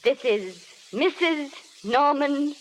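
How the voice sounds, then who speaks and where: Slow, solemn widowed film star. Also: female voice, high voice, expressive. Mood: solemn, resolute. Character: brave, grieving widowed Hollywood film star. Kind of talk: 1930s radio drama acting. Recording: old hissy 1930s radio recording.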